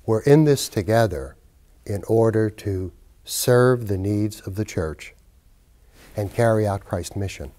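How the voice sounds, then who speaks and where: An older bishop gives a documentary interview in a low, mellow voice. He talks in a measured, reflective way, recorded close in an indoor office.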